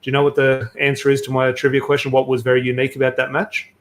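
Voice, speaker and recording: Fast-talking enthusiastic man hosting a podcast. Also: medium pitch, monotone. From Australia. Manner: chatty, enthusiastic storytelling with fillers. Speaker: podcast host. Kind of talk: casual football podcast chat.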